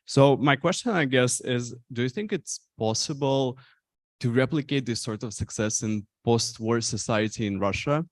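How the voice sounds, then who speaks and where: A man's voice: mid-range voice, normal speed, dry recording, studio quality. A young man, a PhD student, asking an articulate question from the audience. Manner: articulate and fluent.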